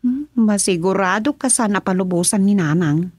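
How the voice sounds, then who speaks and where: An actress playing a worried wife who keeps asking anxious questions in a radio drama. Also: female voice, mid-range voice, normal speed, darkish tone, no echo, natural voice. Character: worried wife named Rosa, fretting over family.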